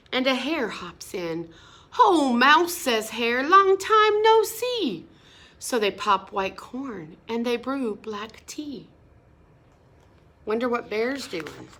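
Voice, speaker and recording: A woman reading a picture book aloud to children in a sing-song, expressive way, pausing to explain words and ask questions. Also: mid-range voice. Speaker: storybook reader.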